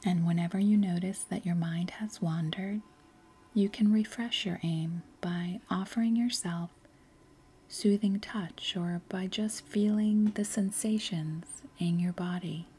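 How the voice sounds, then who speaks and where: A woman leading a guided meditation in a soft, breathy, gentle and soothing way, with unhurried pauses. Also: fairly low pitch, fairly even intonation, slight background noise. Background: faint music.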